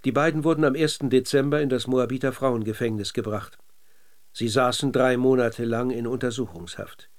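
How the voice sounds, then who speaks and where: Man narrating measured German radio documentary drama. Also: medium pitch, steady tone. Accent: standard German.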